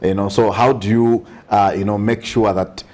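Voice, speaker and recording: A husky male voice with a Zambian accent, talking as a priest invited onto an interview programme. He speaks earnestly and conversationally, repeating phrases for emphasis as he offers social commentary with a preacherly touch. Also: fairly low pitch, fairly even intonation, moderate pace, darkish tone.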